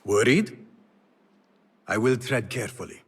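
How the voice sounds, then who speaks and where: An actor playing a determined, serious young assassin in a video game, speaking in an earnest, terse way. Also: male voice, medium pitch, measured pace, creaky, a little hiss or hum. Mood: confident. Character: determined, serious young male assassin, former street thief.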